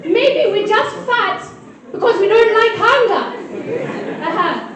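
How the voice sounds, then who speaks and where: A woman's voice: extremely high pitch, animated, takes it slowly, recorded from a distance. A woman from Kenya doing a stand-up comedy set, playfully teasing her audience. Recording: echoey hall heard from afar with crowd. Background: audience laughter and crowd murmur.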